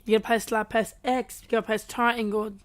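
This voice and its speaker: A woman co-hosts a casual podcast in a very clear, smooth voice. Her intonation stays quite flat and even, and the sound is dry and close. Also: on the high side, fairly quick, no echo. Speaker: podcast co-host.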